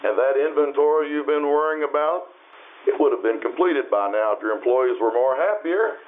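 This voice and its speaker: An actor playing a patient, wise spirit guide in an old-time radio drama, explaining calmly with gentle reproach. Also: male voice, mid-range voice, normal speed, natural voice. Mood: solemn. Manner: calm, explanatory, gently admonishing. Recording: tinny phone-like band, noisy radio sound.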